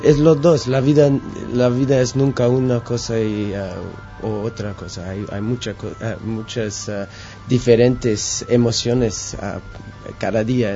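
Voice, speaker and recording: A man from Quebec whose first languages are English and French, answering interview questions haltingly, searching for words with many fillers. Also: fairly low pitch, steady tone, mellow. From Quebec, Canada. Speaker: musician guest. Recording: dull lo-fi radio sound with faint music.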